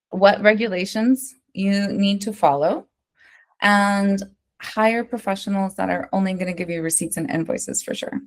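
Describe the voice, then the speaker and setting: An American woman working as an interior designer, answering interview questions in a lively, conversational way and often checking in with "right?". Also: mid-range voice. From United States. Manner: animated, keeps asking "right?", tells stories.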